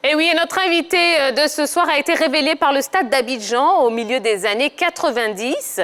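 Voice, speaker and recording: A woman's voice: high-pitched, fairly quick, fairly bright tone, soft background music. A woman hosting a football talk show, cheerful and playful. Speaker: TV host.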